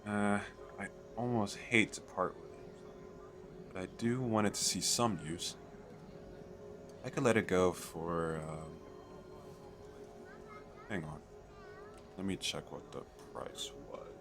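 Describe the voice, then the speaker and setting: Man playing a low-voiced, eager fantasy merchant. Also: takes it slowly, pauses often, music in the background. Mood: persuasive. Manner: hesitant, rambling, persuasive sales patter. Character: eager, chatty male fantasy merchant selling magical crystal castles. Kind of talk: ASMR audio roleplay, spoken to the listener.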